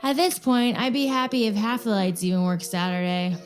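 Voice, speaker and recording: An anxious but supportive artist, played by an actress, speaks in a fairly high voice. She teases her partner with casual, dry affection in a natural audio-drama style, over soft music. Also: a little fast, close to an everyday voice. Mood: earnest, uneasy.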